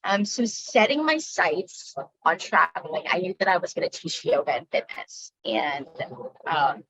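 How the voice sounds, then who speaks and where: A woman from the Philippines, a yoga instructor, telling her personal story in a halting way with frequent pauses. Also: on the low side, very animated, a bit bright, breathy edge, low-quality recording. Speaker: guest speaker, yoga instructor. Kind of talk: personal talk.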